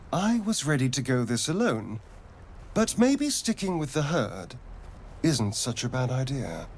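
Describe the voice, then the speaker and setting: A man's voice: mid-range voice, slight background noise, full character voice. Suave English male vampire, theatrically drawling. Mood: wry, weighing options. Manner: arch, drawling, theatrical delivery. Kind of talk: video game character acting.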